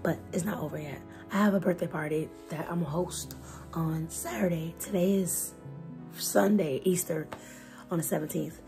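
A young woman chatting to her phone camera at her desk in a casual vlog. She is hoarse, her voice nearly gone, and talks in a chatty, expressive way. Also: lowish voice, moderate pace. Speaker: vlogger. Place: bedroom at a desk, indoors. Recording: music playing under the voice. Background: lofi music bed.

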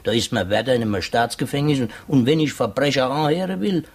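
A husky, chatty prison warder. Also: male voice, mid-range voice, fast. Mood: grumbling, confiding. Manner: chatty, stammering with eager curiosity. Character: talkative, gullible, curious male prison warder. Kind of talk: 1950s German radio play acting.

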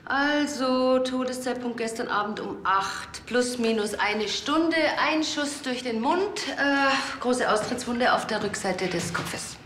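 Brisk pathologist, echoey room. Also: female voice, fairly high pitch, a bit bright, a little hiss or hum. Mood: brisk, tart. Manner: matter-of-fact, pointed. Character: brisk, tart female pathologist.

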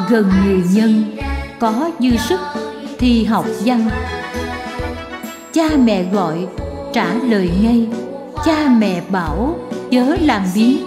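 Female children's theme vocalist: slow singsong delivery, swooping pitch, echoey over music. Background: jingly children's music.

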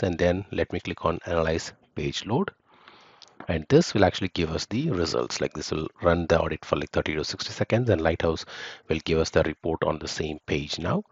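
A man teaching a screen-recorded software tutorial, explaining step by step with many fillers and restarts. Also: deep voice, husky, dry recording, lo-fi sound. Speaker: software testing instructor.

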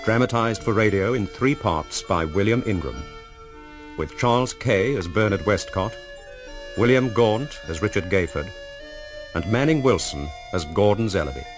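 A man with a fairly low, varied voice makes formal radio drama announcements, with music playing behind him. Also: lively intonation, moderate pace.

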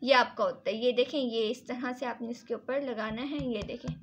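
A woman's voice: a little high, fairly even intonation, moderate pace, a little hiss or hum. A woman casually reviewing and demonstrating products as a beauty vlogger, instructive and repetitive, mixing in English words. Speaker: beauty vlogger and salon owner.

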